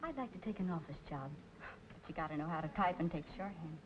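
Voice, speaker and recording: A woman's voice: low voice, animated, fast, noisy background. An actress playing a chatty, forward young working-class woman in a mid-century film noir. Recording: muffled old soundtrack, loud music.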